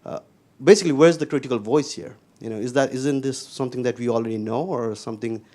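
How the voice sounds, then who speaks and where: A young man from Nepal with a Nepali accent, asking an unscripted question at a panel discussion in a halting way, often repeating words. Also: mid-range voice, warm tone. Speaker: audience member, PhD student.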